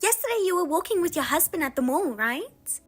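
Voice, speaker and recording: A woman voicing a spiteful younger sister who steals husbands, with a high, sing-song, mocking manner. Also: very animated, soft background music. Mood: taunting. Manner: mocking, self-satisfied, drawn-out teasing. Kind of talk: narrated drama voice-over.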